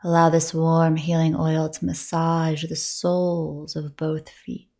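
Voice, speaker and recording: A woman leading a guided meditation as a yoga nidra teacher, speaking softly and soothingly with breathy gentleness and long pauses between phrases. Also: low-pitched, completely flat delivery.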